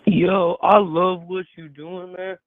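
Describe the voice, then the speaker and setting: A man's voice: sits high, level tone, slow, no echo. A man calling in to a live show, chatting casually over a thin call connection. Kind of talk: casual chat. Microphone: phone or online call. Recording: thin phone-like call audio.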